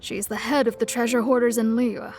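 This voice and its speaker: A woman's voice: a little high, steady tone, fairly quick, a little mellow, close to an everyday voice. A woman playing a polite young guild receptionist in a video game, speaking formally and courteously. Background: faint game music.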